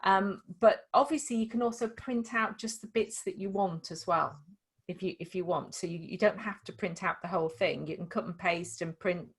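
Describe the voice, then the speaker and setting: A woman's voice: fairly low pitch, moderate pace, husky. A middle-aged woman from Liverpool with a slight Scouse accent, casually tutoring on screen with lots of restarts. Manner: chatty, demonstrative, many restarts. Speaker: dyslexia tutor.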